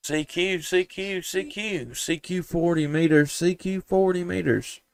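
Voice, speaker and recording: A man's voice: high-pitched, steady tone, a little slow, gravelly, a little hiss or hum. A man with a Southern US accent chatting and calling as an amateur radio operator, folksy and polite. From Tennessee, United States. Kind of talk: casual ham radio chat and calling.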